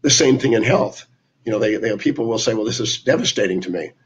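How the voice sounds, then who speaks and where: A man with a raspy, hoarse voice giving a motivational talk, telling stories about his clients and quoting their conversations. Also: lowish voice, animated, fast, muffled recording. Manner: storytelling, quoting clients in dialogue. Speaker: speaker on his live stream.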